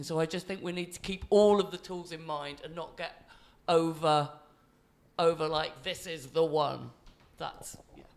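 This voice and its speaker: A man speaking thoughtfully on a panel, hedging his points. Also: fairly high pitch. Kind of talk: panel discussion.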